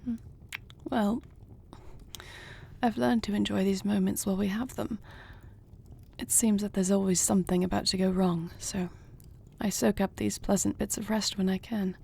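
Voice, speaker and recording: A woman playing a noble, devoted warrior queen in an intimate fantasy audio roleplay, husky and breathy, speaking in measured, earnest, formal phrases. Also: mid-range voice, fairly even intonation, mellow, slight background noise, close to an everyday voice. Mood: tender, wistful.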